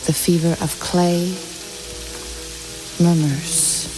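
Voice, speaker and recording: A woman reading poetry in a slow, measured, deliberate way. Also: fairly low pitch, little pitch movement, poor audio. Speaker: poetry reader. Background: music soundscape.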